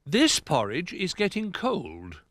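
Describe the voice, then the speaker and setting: A man's voice: high-pitched, wildly swooping pitch, slow, a bit bright, no echo, pitched above the performer's own voice, heavily acted. A man voicing a small, earnest, plaintive bear cub in a storybook, with a childlike manner.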